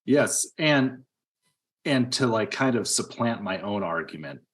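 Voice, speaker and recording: A man co-hosting a podcast, discussing movies casually in an emphatic, argumentative way. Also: fairly low pitch. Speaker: podcast co-host.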